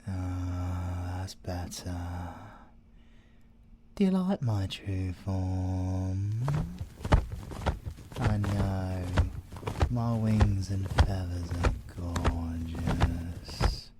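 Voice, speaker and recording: A British man voicing a possessive, gloating male siren in an ASMR audio roleplay, theatrical and teasing, with a breathy voice that slips into whispers. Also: deep voice, very noisy. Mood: gloating, hypnotic. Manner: theatrical, teasing, slow and deliberate, slipping into whispers.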